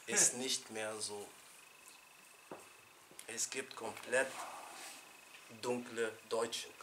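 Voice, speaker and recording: A middle-aged man teaching an informal religious lesson in a small room, animated and chatty, laughing with his students and restarting his sentences. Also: medium pitch, extremely slow, heavy background noise. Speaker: sheikh teaching a Quran class. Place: small indoor classroom.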